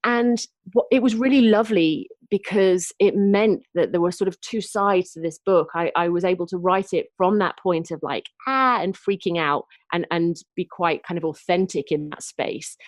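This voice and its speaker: A woman's voice: fairly low pitch, normal speed, few pauses, dry recording. Woman author in close, dry sound. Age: late 30s. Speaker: guest author. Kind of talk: reflective interview conversation.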